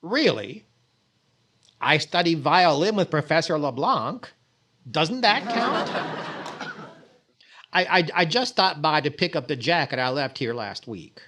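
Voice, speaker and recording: A man playing a vain, animated radio comedian, noisy recording. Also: a little high, a little slow, long pauses. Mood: naively miffed. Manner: exclaiming, hesitant stammers, comic timing. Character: vain, naive, fussy comedian, easily flustered, boasting of possessions. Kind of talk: 1940s radio comedy acting.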